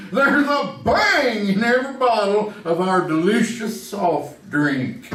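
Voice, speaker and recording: Radio ad hillbilly, folksy and whooping, bright high voice, drawn-out delivery, distant from the mic. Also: male voice, full character voice. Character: exuberant hillbilly soft-drink pitchman. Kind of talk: old-time radio commercial. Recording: roomy, distant, faintly noisy stage recording.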